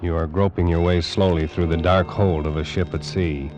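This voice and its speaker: A man announcing a radio suspense drama in an ominous, measured 1940s style, on an old recording. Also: low-pitched, steady tone, muffled recording. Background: music.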